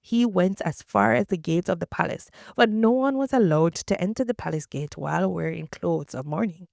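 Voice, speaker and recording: A woman reading scripture aloud in a measured, steady narration. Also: fairly low pitch, vocal fry, dry recording, studio quality. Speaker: scripture reader.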